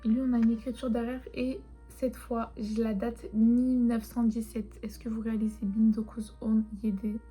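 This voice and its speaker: A young woman whose first language is Turkish chats casually, rambling softly and often dropping into a half-whisper. Her voice sits a little high but stays flat and mellow. Faint music plays behind her. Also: moderate pace, hardly any pauses, breathy edge. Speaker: vlogger. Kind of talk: casual chat showing souvenirs.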